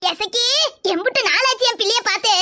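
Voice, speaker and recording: A woman's voice: very high voice, a little fast. A woman voicing an affectionate elderly grandmother in a cartoon, speaking with a southern Tamil Nadu accent and tender endearments, reluctant to impose. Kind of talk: cartoon character dialogue. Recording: very loud background noise.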